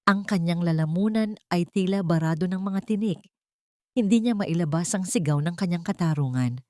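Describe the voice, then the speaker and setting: A woman's voice: fairly low pitch, moderate pace, darkish tone, no room sound, clean studio sound. A woman storyteller reading scripted, dramatic narration.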